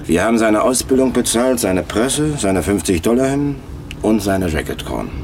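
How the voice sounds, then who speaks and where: Cold sardonic gangster, very deep, car noise behind, radio crime drama. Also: male voice, lively intonation, normal speed. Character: cold, cynical male gangster handing out orders. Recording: loud street and car noise. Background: car and outdoor traffic noise.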